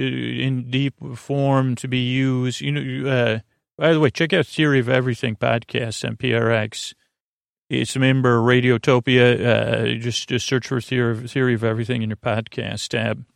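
Creaky-voiced man rambling a bedtime monologue. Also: medium pitch, little pitch movement, no room sound, clean studio sound. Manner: meandering, halting, self-interrupting. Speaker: host of a bedtime sleep podcast.

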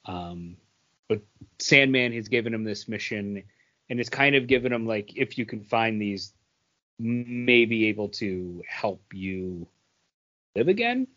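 A man's voice: fairly low pitch, expressive, a little slow, fairly bright tone, highs cut off. A man co-hosting a podcast, chatting casually and bluntly about what he has read, with some casual swearing. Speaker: podcast co-host reviewing comics. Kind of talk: casual chat.

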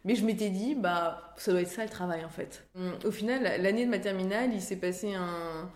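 A woman's voice: medium pitch, brisk, a little mellow. A woman, telling her story in a chatty, informal, conversational way with self-deprecating asides. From France. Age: 30s. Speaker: interview guest, career-change coach and author. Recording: roomy, echoing sound.

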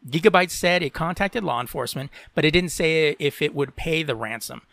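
A man's voice: on the high side, fairly quick, vocal fry, fairly bright tone, no room sound. A podcast host from Latin America, a man who reads tech and entertainment news aloud and breaks off into opinionated commentary peppered with "you know".

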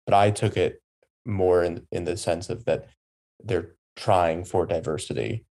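A man discussing a film on a podcast in an earnest, conversational way. His voice is very deep and mellow. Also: steady tone, a little slow. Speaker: podcast guest. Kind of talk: casual film review discussion.

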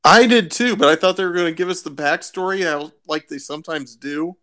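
A man's voice: high-pitched, brisk, sharp tone. A man chatting casually as a podcast co-host. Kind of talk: casual chat.

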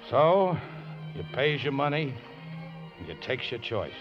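An actor playing a warm-hearted, plucky middle-aged riverboat man with folksy working-class speech, in 1950s radio drama style. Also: mid-range voice, big pitch swings, a little slow. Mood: grave. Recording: dull old radio recording with music.

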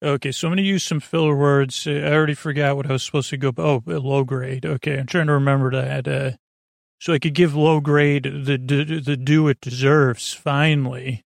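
Creaky-voiced man rambling through a bedtime podcast. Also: mid-range voice, steady tone, fairly quick, dry recording, clean studio sound. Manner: meandering, full of filler words and tangents; goofy, absurd, self-deprecating. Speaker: podcast host. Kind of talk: rambling bedtime monologue to help listeners sleep.

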